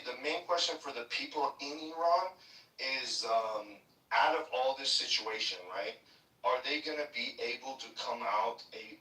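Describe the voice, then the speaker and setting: A man's voice: mid-range voice, bright, forceful tone. An American man from Idaho hosting a live discussion in a casual, informal way, often checking in with "right?". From Idaho, United States. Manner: informal, peppers talk with "right?". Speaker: host moderating a group discussion. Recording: echoey, distant online audio.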